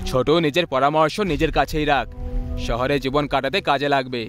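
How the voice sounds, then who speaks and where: পুরুষের অভিনয়ে লোভী, হুমকিবাজ গ্রাম্য গুন্ডা. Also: male voice, mid-range voice, steady tone, a little fast, fairly bright tone, lightly acted. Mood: menacing. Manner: threatening, crude. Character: greedy, bullying village land-grabber thug. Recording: music behind the voice.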